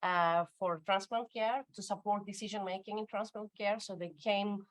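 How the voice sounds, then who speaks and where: Middle-aged woman academic on a panel, unscripted and halting, medium pitch, dry close sound. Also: fairly even intonation, no room sound. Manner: hesitant, many fillers and restarts. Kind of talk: unscripted conference panel talk. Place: conference workshop room.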